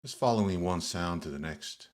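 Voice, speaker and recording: A man leading a guided meditation as the guide, speaking in measured short phrases with pauses between gentle instructions. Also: deep voice, expressive. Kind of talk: guided yoga nidra meditation.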